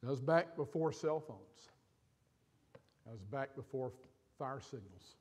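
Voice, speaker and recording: An older man preaching from a church stage, telling a personal story with pauses and feeling. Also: medium pitch, lively intonation, breathy edge. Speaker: pastor. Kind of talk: sermon storytelling.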